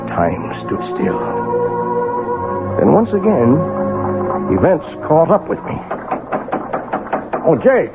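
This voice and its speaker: Obsessed man in vintage radio mystery. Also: medium pitch. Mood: uneasy. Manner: theatrical, halting when questioned. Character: obsessed, guilt-ridden, irritable male clockmaker narrating his own tale. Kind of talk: 1970s radio mystery drama acting with narration. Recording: old radio broadcast, narrow band, loud music.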